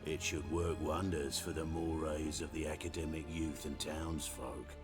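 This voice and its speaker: An actor playing a cold, smugly pompous middle-aged witch-hunter commander in a video game, speaking formally with sardonic, measured phrasing. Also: rumbling low, level tone, over music. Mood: smug, menacing.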